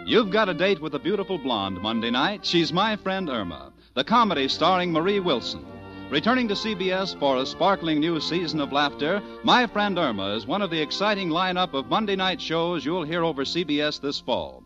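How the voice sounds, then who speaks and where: A man's voice: a little high, very animated, fairly quick. A man with an American accent announcing in polished, scripted 1940s network radio style. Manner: polished, promotional. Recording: dull vintage radio recording, music behind.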